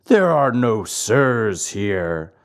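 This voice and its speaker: A man voicing a huge, bearded, gruff but soft-hearted puppet master in a commanding, theatrical storybook style. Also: on the low side, big pitch swings, extremely slow, heavily acted. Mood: stern.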